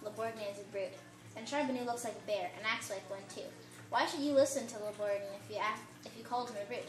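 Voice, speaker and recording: A woman's voice: fairly high pitch, fast, distant mic, close to an everyday voice. A young woman playing a defiant, outspoken girl in an amateur puppet show, with a plainly recited delivery. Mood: questioning. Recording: echoey room with clear background noise.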